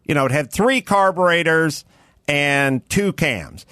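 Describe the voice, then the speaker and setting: A man's voice: fairly high pitch, animated, a little slow, sharp tone, smooth, no room sound, studio quality. A middle-aged man hosting a podcast, joking and rambling in casual chat. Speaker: podcast host.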